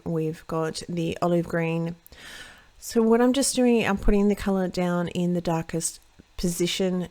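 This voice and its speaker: A woman hosting a watercolour card-making tutorial, chatting through each step as she paints and often correcting herself mid-sentence, her voice a little croaky. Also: on the low side, darkish tone, dry recording. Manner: chatty, self-correcting, thinks aloud while painting. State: slightly croaky voice. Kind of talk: casual step-by-step craft demonstration.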